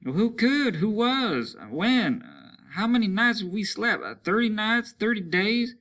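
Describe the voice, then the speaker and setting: A man's voice: high-pitched, animated, a little slow, a bit bright, pitched above the performer's own voice. A man voicing a weary, aching soldier who complains in an agitated, rambling way. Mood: fretful, miserable. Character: weary, aching soldier worn down by endless rain.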